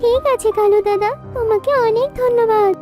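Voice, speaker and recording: A woman's voice: very high-pitched, steady tone, moderate pace, over music, pitched above the performer's own voice. A woman voicing a devoted young daughter bird, plaintive and earnest, in a children's cartoon melodrama. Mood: earnest, resolved.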